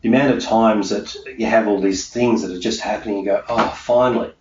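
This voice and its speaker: Deep-voiced man in an echoey room. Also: steady tone, brisk, a little mellow. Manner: emphatic, repetitive, punctuates points with "okay". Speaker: interviewee, self-employed mindset coach. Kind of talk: conversational interview answers. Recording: roomy, distant, muffled, faint background noise.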